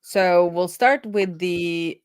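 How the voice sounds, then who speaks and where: Low-pitched, even-toned woman explaining code. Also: drawn-out words, clean tone, no echo, studio quality. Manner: thinks aloud, explanatory. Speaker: host and programmer. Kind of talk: informal live coding explainer.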